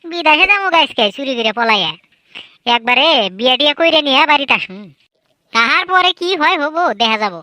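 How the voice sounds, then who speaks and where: A woman plays a sly, lazy teenage village son in a comedy cartoon. The voice is high and very sharp, recorded dry. He chats, always boasting or scheming. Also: lively intonation, brisk, dry recording. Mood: boastful.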